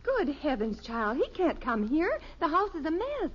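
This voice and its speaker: A sensible American housewife with a fairly high, expressive voice. She is dry and put-upon in the manner of 1950s sitcom mothers. The broadcast sounds muffled with faint noise. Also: moderate pace. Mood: alarmed. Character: busy, dryly sarcastic, sensible middle-aged housewife mother. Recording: muffled, noisy old radio recording.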